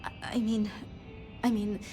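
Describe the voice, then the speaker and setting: An actress voicing a gentle, anxious, idealistic female vampire in a video game, with a hesitant, earnest manner. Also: mid-range voice, level tone, a little slow, music in the background, natural voice. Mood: pleading. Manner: hesitant, earnest, flustered, polite.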